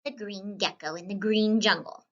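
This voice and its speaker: A woman reading a children's story as a whimsical, wisecracking young student painter, with animated delivery and playful, wry asides. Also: medium pitch, moderate pace, dull audio, lightly acted. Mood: playful, excited. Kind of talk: scripted children's read-aloud.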